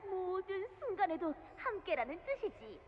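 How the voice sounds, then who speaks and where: A woman's voice: very high voice, very animated, racing, dull audio. An actress playing a spirited young female knight in a game, declaiming theatrically in old-fashioned speech. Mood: proud, earnest.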